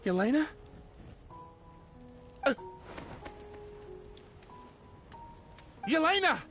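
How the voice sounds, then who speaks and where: Heartbroken man in a game, haunted by guilt, halting, high voice, music behind. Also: sing-song, full character voice. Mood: desperate, pleading. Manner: halting, anguished. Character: grief-stricken, guilt-ridden man obsessed with his lost love. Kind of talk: dramatic video game voice acting.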